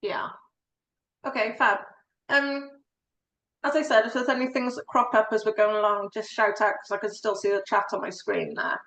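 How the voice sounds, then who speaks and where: A woman in her forties from South Wales with a Welsh accent, a solicitor giving a relaxed, chatty presentation on an online call. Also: on the high side, normal speed, roomy sound, rough recording.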